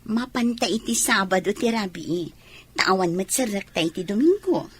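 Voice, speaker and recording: A woman's voice: mid-range voice, normal speed, breathy edge, lightly acted. A woman playing a bossy, coaxing mistress of a married man in an Ilocano audio drama, with an Ilocano Filipino accent. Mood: matter-of-fact.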